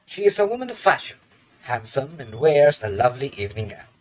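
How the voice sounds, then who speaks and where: A man's voice: medium pitch, big pitch swings, brisk, darkish tone, close to an everyday voice, low-quality recording. A man playing a boss who reads a play script aloud as scripted narration. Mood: descriptive, measured. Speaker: narrator reading stage directions. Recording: faint background noise and ambience.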